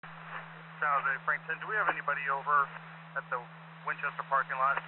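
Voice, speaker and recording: Male police officer, procedural radio talk, thin phone-like sound, loud static, distant mic. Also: mid-range voice, steady tone, brisk. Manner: procedural, clipped radio phrasing with codes. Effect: police two-way radio.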